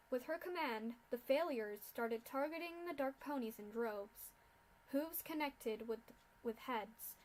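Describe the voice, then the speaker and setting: A woman reading a dark fan fiction story aloud in steady narration. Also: a little high, a little hiss or hum, poor audio.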